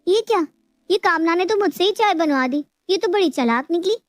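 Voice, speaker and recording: High-pitched put-upon young daughter-in-law, acted by a woman. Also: expressive, fairly quick, no echo. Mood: annoyed, scheming. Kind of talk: Hindi animated moral story acting.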